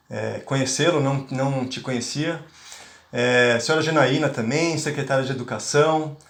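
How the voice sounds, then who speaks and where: A man's voice: medium pitch, reverberant. A man from Bahia giving a talk to teachers as a conflict mediator, speaking with frequent fillers and restarts. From Bahia, Brazil. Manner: hesitant, many fillers and restarts. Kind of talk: online lecture to teachers.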